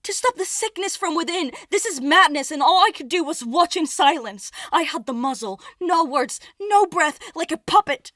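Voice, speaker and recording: A woman's voice: very high voice, animated, moderate pace, hardly any pauses, a bit bright, no room sound, clean studio sound. A woman playing an anguished, haunted young princess of Greek myth in a theatrical audio play. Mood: anguished, defiant.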